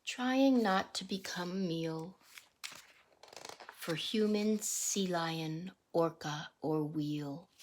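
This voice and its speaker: A woman reading a rhyming picture book aloud as a teacher would, deliberately, with explanatory asides and questions for young listeners. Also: medium pitch.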